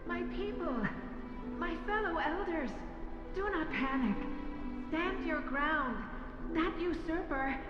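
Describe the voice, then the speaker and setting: High-pitched, declaiming civic elder. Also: female voice, slow pace, pauses often. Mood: rallying, indignant. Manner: declamatory, theatrical oratory. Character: pompous civic elder addressing a panicked crowd. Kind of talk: dramatic game cutscene acting. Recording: dull, echoey hall, music behind.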